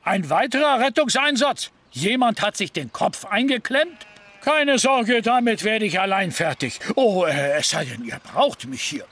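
An actor playing an older fire chief in a cartoon, fussy, self-assured and given to pompous rambling. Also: male voice, high voice, sing-song, unhurried, fairly bright tone, full character voice. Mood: self-important. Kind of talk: animated cartoon dubbing.

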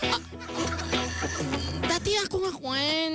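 A man voicing an excitable, flirtatious woman in a live comic drama, gushing and teasing. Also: very high-pitched, slow, full character voice. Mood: flirtatious, proud. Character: excitable, flirtatious woman friend, former nightclub dancer. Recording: loud music behind the voice. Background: loud music.

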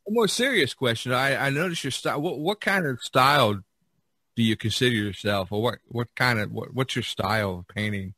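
A man's voice: medium pitch, normal speed, pushed and bright, no echo. A man hosting a casual role-played interview, repeating himself a little as he sets up questions. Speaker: podcast host interviewing artists. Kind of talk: casual play-acted interview.

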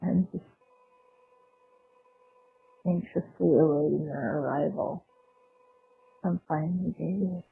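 A woman's voice: low-pitched, steady tone, slow pace, vocal fry, long pauses, slightly rough, no room sound, faint music behind. A woman leaving a personal, conversational voicemail message, recorded over a lo-fi phone line.

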